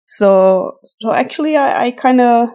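A woman's voice: a little high, unhurried, mellow, clean tone. A woman podcast co-host, a native Flemish Dutch speaker with an accent between British and American, chatting casually and thinking aloud as she repeats words. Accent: mixed European, between British and American. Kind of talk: casual conversational podcast talk.

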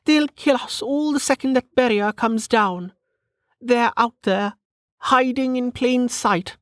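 A woman's voice: a little high, takes it slowly, no echo, studio quality, voice pushed up. A woman voicing a prim, snobbish noblewoman in an audiobook, with a testy, proper manner. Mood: testy, insistent. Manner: haughty, sniffing, proper. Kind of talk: audiobook character acting.

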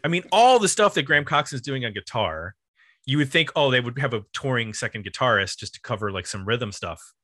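A man hosting a casual music discussion, thinking aloud in a halting, conversational way. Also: mid-range voice, lively intonation, bright, forceful tone, no room sound, studio quality. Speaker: podcast host.

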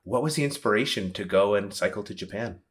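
A man's voice: lowish voice, fast talker. A man hosting an interview in a casual, conversational style. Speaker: interview host.

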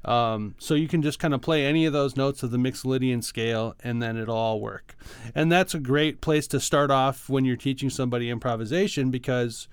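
A man's voice: mid-range voice, fairly quick, smooth, dry recording. A man co-hosting a podcast, casually explaining things in a patient, teacherly way with examples. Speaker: podcast co-host, music arranger and teacher.

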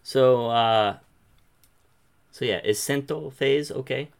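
A man's voice: mid-range voice, takes it slowly, clean tone. A man hosting a casual, instructional discussion, asking questions and explaining terms step by step. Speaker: host leading the discussion.

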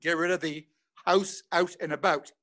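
A man's voice: a little high, fairly even intonation, moderate pace, sharp tone. An older man with a Canadian accent, chatting with amusement as a guest.

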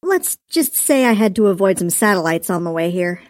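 A woman voicing a small male dragon with a light, airy yet commanding voice, speaking calmly and sternly in an audiobook. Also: medium pitch, no room sound, clean studio sound, lightly acted. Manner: stern, measured, faintly teasing. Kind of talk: audiobook character voice.

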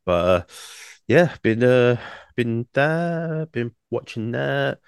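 A young man, co-hosting a casual podcast, rambling with many fillers and restarts, his throat croaky from singing. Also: medium pitch, expressive, slow, no room sound. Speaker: podcast co-host.

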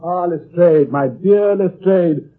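An actor playing a shrewd, commanding gentleman detective in an old radio drama, urbane and theatrical, with a British accent. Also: sits high, slow pace. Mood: suave, insistent. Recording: muffled, lo-fi vintage radio recording.

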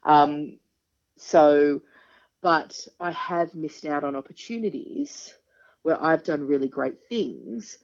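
A woman in her forties with a deep speaking voice, a singer talking frankly and with quick humour as a podcast guest. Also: darkish tone. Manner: frank, chatty, quick-witted, laughs often. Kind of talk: casual interview chat. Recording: dull lo-fi podcast audio.

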